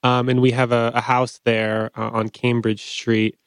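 A man in his late twenties, a podcast guest who talks quickly and casually with a light joking tone. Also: medium pitch, level tone, clean tone, no echo, clean studio sound. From Bulgaria, raised in the US. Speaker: guest, MBA student.

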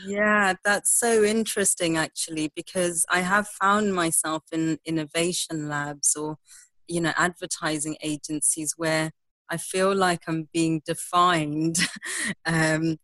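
A woman from Zimbabwe, a social entrepreneur guesting on a podcast, speaking candidly and haltingly with fillers. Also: low-pitched, fairly even intonation, fairly bright tone, no echo, rough recording. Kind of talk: conversational interview.

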